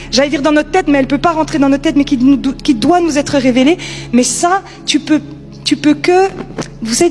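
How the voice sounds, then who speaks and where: A woman with a high-pitched voice preaches in an informal, conversational style. She is emphatic and repeats her key phrases, with music playing softly behind her. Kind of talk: informal sermon.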